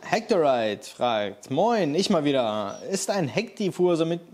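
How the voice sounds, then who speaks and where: A man's voice: a little high, sing-song, unhurried, a little mellow. A man co-hosting a casual chat, reading out viewer questions and chiming in with short agreeing replies. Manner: reads questions aloud, short agreeing interjections.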